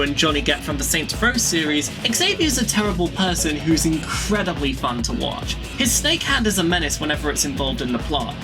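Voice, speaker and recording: A young woman hosting a casual video essay, talking in a lively way and swearing freely. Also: fairly low pitch. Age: young adult.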